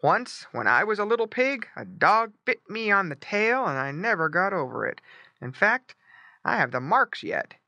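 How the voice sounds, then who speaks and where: A woman voicing a fat, placid father pig with a measured, matter-of-fact manner, in a storybook reading. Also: medium pitch, big pitch swings, sharp tone, dry recording, muffled recording, voice pushed up. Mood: reminiscing.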